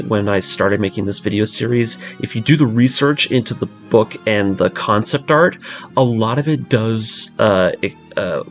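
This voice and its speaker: Man narrating over prominent music. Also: lowish voice. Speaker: host of a video series breaking down an anime audio drama. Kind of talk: scripted narration with casual commentary. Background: music behind the voice.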